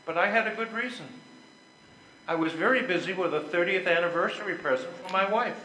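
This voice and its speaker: A bright, forceful voice carries through an echoey room. It is an older man acting an earnest, self-important husband in an amateur stage play, a little high-pitched and declaiming his lines as he tries to make peace. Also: brisk. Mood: earnest, pleading. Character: earnest, self-important but conciliatory husband, a factory vice president. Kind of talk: readers theater stage play. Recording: echoey room with clear background noise.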